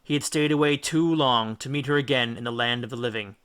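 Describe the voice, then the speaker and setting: A man's voice: mid-range voice, brisk, fairly bright tone, clean tone. A man reading a children's audiobook as a dramatic storyteller. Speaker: audiobook reader. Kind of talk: dramatic scripted storytelling narration.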